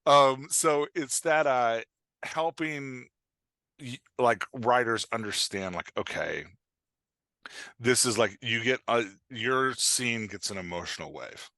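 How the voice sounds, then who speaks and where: A man's voice: medium pitch, takes it slowly, bright, forceful tone, no room sound. A man speaking as a podcast guest and writing coach, explaining things in an informal, rambling, conversational way and sometimes acting out imagined reactions. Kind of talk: casual explanatory interview talk.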